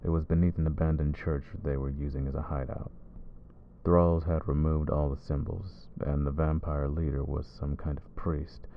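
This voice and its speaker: A man voicing a brooding male vampire who hunts his own kind, speaking low and measured in an ASMR roleplay. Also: flat delivery, narrow, tinny audio. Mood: grave.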